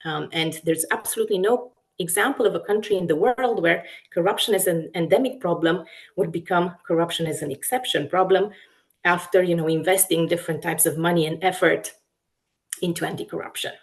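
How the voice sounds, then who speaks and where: A woman researcher from Romania with a Romanian accent, explaining her work in a webinar talk in a deliberate way, often pausing mid-phrase. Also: on the low side. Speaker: academic researcher, webinar lecturer.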